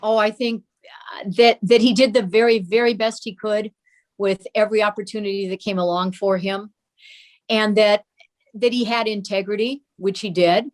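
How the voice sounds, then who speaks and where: A woman's voice: mid-range voice, steady tone, normal speed. An older woman talking as an interview guest, recalling family memories conversationally. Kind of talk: reminiscing in conversation.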